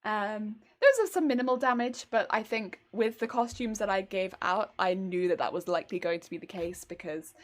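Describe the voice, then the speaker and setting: A woman's voice: mid-range voice, moderate pace, hardly any pauses. A young English woman with a faint Welsh tinge to her accent, chatting casually and giggling on a crafting livestream. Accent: English, slightly tinged with Welsh. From England. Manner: chatty, giggly, rambling with fillers. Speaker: cosplay streamer.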